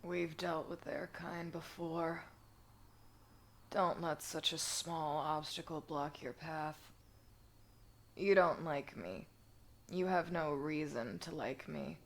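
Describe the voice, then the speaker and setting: A woman voicing a stern, weary villain's henchwoman in an animation fandub, speaking in a quiet, grave, measured way. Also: sits low. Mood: grave, calm. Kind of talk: dramatic animation fandub acting.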